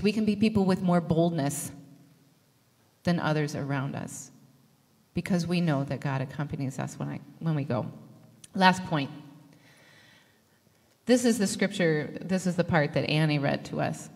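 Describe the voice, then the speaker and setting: A woman in her thirties preaching a sermon into a handheld mic, lively and joking, gesturing as she talks. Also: lowish voice, normal speed, pauses often. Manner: lively, gestures broadly, jokes and laughs with the congregation. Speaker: pastor. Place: church sanctuary. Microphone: handheld microphone. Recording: long reverb of a church hall.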